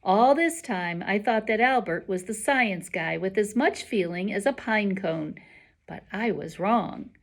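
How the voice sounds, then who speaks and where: A woman teacher reading a children's novel aloud in an expressive storytelling style. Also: mid-range voice, a little slow.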